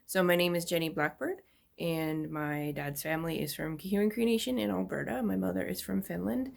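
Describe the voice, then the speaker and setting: A woman from Canada giving a relaxed, step-by-step craft tutorial, explaining as she goes with small fillers. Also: lowish voice, a little fast, gravelly. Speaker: beading instructor.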